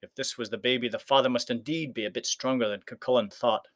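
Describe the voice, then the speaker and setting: A man's voice: mid-range voice, steady tone, fast talker, fairly bright tone. A man reading a children's folktale aloud as scripted storybook narration. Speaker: story reader.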